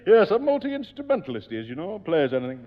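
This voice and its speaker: A pompous, chatty neighbour who rambles theatrically. His voice swoops up and down and sits a little high. The old radio recording is dull and echoey. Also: huge pitch swings, fast. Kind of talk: 1960s radio sitcom acting.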